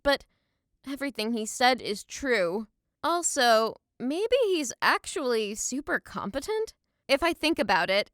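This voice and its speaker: Eager young female colleague, acted by a woman, high-pitched and very lively, expressive manga-cartoon delivery, easily exasperated. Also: exaggerated voice. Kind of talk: animated manga voice acting and narration.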